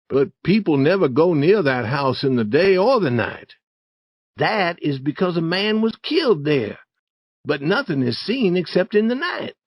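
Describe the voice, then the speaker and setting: A man's voice: fairly high pitch, no echo, highs cut off, pitched above the performer's own voice. A man voicing an anxious, superstitious young boy in a storybook, with earnest, careful wording.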